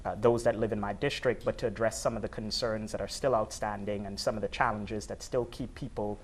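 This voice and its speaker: A man's voice: lowish voice, fairly even intonation, fairly quick, breathy edge. A man from Trinidad and Tobago, a political candidate, answering interview questions steadily with many fillers.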